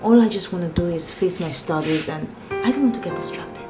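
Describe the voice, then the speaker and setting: A woman's voice: lowish voice, brisk, lightly acted. An actress playing a proud, guarded young female student in a Nollywood film drama. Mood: earnest. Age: young adult. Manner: expressive, sharp when annoyed. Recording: film soundtrack with loud background music.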